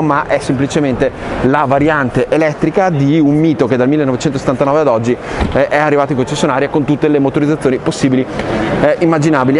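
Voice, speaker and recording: A man in his thirties filming himself at arm's length while touring a large car show hall, chatting with lots of fillers and enthusiasm. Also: a little high. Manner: energetic, filler-heavy, enthusiastic. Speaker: car reviewer. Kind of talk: walking tour commentary. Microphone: handheld camera at arm's length. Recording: noisy crowded hall.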